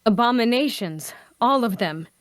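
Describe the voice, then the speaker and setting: A fairly high-pitched woman acting as a principled young-hearted adventurer. She speaks with earnest hesitation in a fantasy drama, recorded close and dry. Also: animated, normal speed, dry recording, natural voice. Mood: troubled, hesitant.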